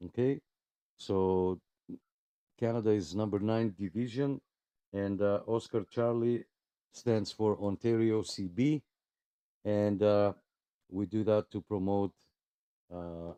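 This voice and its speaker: A man's voice: lowish voice, fairly even intonation, slow pace, long pauses, dark tone, clean tone, dry recording. A man casually hosting a live radio check-in, friendly and polite, with plenty of hesitations. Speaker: host of a CB radio club live check-in net.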